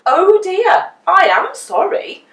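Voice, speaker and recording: A woman's voice: very high-pitched, big pitch swings, slow, fairly bright tone, voice pushed up, full character voice. A woman voicing scheming storybook townspeople in an exclamatory, emphatic manner. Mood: mock apologetic. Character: scheming townspeople, feigning apology, storybook characters. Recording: roomy echo, distant microphone.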